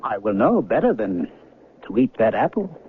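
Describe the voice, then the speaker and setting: An actor playing an earnest, disillusioned, restless man in 1970s radio drama, speaking theatrically and emphatically with halting pauses. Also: lowish voice, sing-song. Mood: resolute. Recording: thin phone-like old radio recording, noisy.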